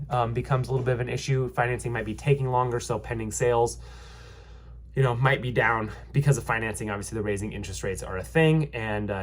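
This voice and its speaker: A young man with a stuffy nose from a cold, giving a casual market update as a realtor, rambling with lots of ums and restarts. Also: mid-range voice. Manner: rambling, many ums and restarts, upbeat reassuring. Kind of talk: casual vlog market commentary.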